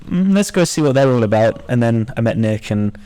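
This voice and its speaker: A man's voice: mid-range voice, expressive, a little fast, dark tone, clear, resonant tone. A man in his twenties chatting casually as a podcast co-host. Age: 20s. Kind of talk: casual chat.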